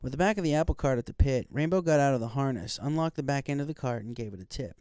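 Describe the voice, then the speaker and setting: A man's voice: medium pitch, lively intonation, fast, a little mellow, clear, resonant tone, no room sound, studio quality. A man reading a scripted story aloud as an audiobook narrator.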